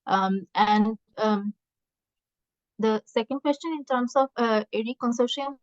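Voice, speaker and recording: A woman researcher answering questions in an online meeting, speaking haltingly with many hesitant pauses. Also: a little high, no room sound. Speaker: researcher presenting a project. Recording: muffled lo-fi online call audio.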